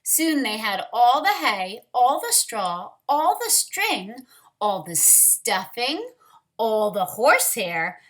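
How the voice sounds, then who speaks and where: A woman teacher leading a read-aloud storytime for young children, speaking deliberately and directly to them. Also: on the high side, sing-song, fairly bright tone.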